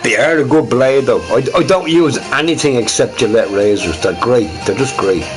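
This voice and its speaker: A man's voice: medium pitch, moderate pace, music in the background. A man streaming on his own, chatting casually in a rambling, profane way and laughing often. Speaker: livestreamer. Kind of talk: rambling solo stream chat.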